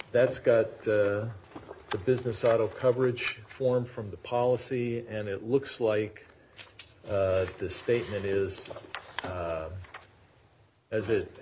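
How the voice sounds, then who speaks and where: A man presiding as a judge, questioning lawyers in court with a probing, halting manner. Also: fairly low pitch, noisy background. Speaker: appellate judge.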